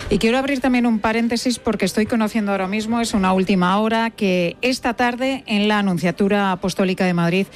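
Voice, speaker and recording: A woman with an accent from Spain hosts a live radio broadcast, commentating and chatting in a measured, sometimes halting delivery. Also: mid-range voice. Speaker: radio host.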